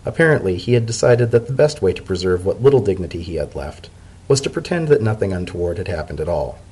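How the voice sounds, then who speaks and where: Husky-voiced man narrating a story. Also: sits low, big pitch swings, quick pace, dark tone, low-quality recording. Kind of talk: dramatic reading of a story.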